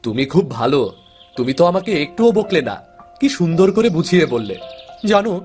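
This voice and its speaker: A man's voice: on the high side, very animated, fairly quick, darkish tone, soft background music. A man playing a simple-minded village husband in a cartoon folktale, childlike, earnest and whiny. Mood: naive, sad. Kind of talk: fairy-tale cartoon acting.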